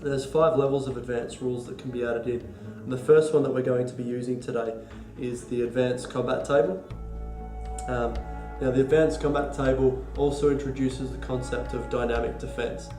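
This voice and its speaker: An Australian man with a cold and a stuffy nose, explaining board game rules in a halting, casual way full of ums and restarts. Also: medium pitch, flat delivery, warm tone, roomy sound, soft background music. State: congested from a cold. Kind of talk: casual tabletop rules walkthrough.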